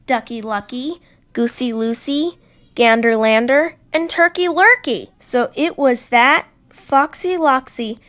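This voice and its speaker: A woman storyteller reading aloud to children in an expressive narrating style. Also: sits high, slow.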